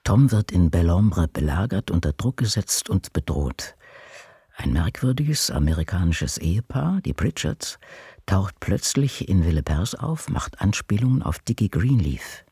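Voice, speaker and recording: Obsessive inner voice, radio drama: low, creaky, intimate and intense. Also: male voice, sing-song, unhurried, warm tone, no echo, studio quality, heavily acted. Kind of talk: literary radio play monologue.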